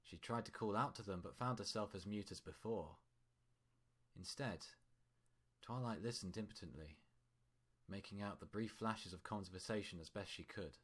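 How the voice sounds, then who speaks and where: A man's voice: lowish voice, moderate pace, slightly rough. A man reading scripted fiction as a storyteller, in prose narration.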